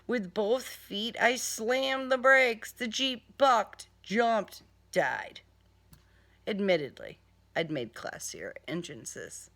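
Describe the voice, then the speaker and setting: A woman's voice: mid-range voice, animated, slow pace, a bit bright. A woman reading a crime story in a dramatic first-person style, with a sardonic, theatrical delivery. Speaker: author reading own crime novel.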